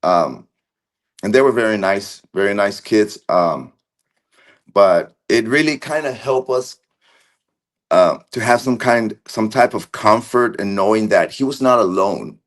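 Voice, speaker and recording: Man, low voice, halting, many restarts and fillers, Argentine background, video call guest. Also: expressive. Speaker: interview guest. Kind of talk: casual recollection.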